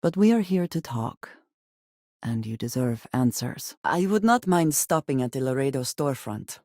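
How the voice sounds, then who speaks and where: An actress playing a guarded, earnest and devout woman spacefarer in a video game, speaking in measured, formal phrases. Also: very deep voice, animated, a little mellow, no room sound, clean studio sound. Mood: composed, formal. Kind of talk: video game character dialogue.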